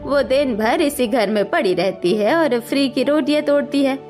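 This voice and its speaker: A woman's voice: high voice, moderate pace, a little mellow, faint music behind, close to an everyday voice. A woman voicing a scheming, scornful middle-aged mother-in-law in a cartoon, scolding melodramatically. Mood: conniving.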